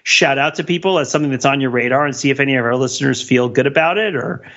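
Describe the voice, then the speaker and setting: A man's voice: mid-range voice, fast, a bit bright, highs cut off. A man co-hosting a podcast in casual, joking banter with a wry, playful manner. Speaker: podcast co-host.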